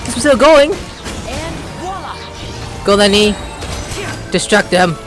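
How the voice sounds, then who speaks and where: A man doing playful, excitable gaming commentary, full of exclamations and called-out moves. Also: high-pitched, slow. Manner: playful, exclaiming, shouts attack names. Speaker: gameplay YouTuber. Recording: game music behind commentary.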